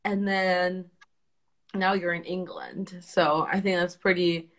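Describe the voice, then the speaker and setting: A woman's voice: fairly low pitch, fairly even intonation, slow pace. Chatty young woman hosting a live chat. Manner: friendly, chatty, with frequent laughs. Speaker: host. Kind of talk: live video chat interview. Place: indoor room. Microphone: phone held close, front camera.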